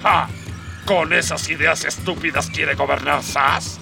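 Pompous radio-play villain colonel, high creaky voice, scornful, music behind. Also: male voice, fairly even intonation, measured pace, distant mic. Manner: pompous, disdainful. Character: haughty, scornful male colonel, elitist villain. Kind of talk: radio drama acting.

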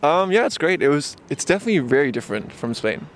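A man's voice: on the high side, sing-song, quick pace, a little hiss or hum. A man in his twenties, born in Japan and raised in Luxembourg and Barcelona, chatting casually as an interview guest outdoors in a park, with lots of fillers and restarts. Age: 20s. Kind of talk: casual conversation.

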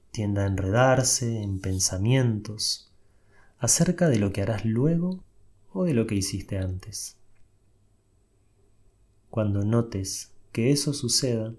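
Slow, breathy man guiding meditation. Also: on the low side. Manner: soft, unhurried instructions with long pauses. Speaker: meditation guide. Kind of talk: guided meditation.

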